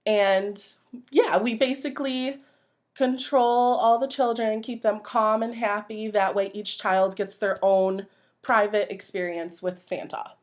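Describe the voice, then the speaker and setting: A woman's voice: medium pitch, fairly even intonation, a little slow. A young woman answering interview questions as a job applicant, earnest and a little nervous in manner. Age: young adult.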